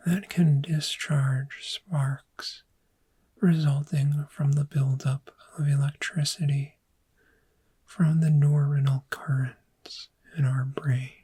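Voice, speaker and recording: A woman voicing a calm, patient dragon therapist in a gentle, breathy, soft-spoken ASMR roleplay, with measured, reassuring instruction. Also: low-pitched, heavily acted. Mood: patient explaining. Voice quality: breathy, whispery.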